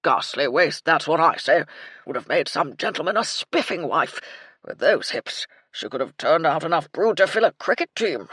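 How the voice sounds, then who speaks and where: Woman putting on a tipsy old colonel for an audiobook: loud, clipped, swooping, husky. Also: low voice, very animated, normal speed, no room sound, exaggerated voice. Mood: blustering. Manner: bluff, clipped, hearty old-fashioned slang. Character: blustering old male English colonel, tipsy. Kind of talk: audiobook character voice.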